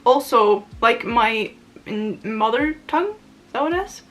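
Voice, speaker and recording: A woman's voice: fairly high pitch, lively intonation, a little slow, a bit bright. A young woman from Norway, a native Norwegian speaker, chatting in English while doing her make-up for a get-ready-with-me vlog, rambling and giggling with lots of "like". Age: young adult. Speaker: booktuber.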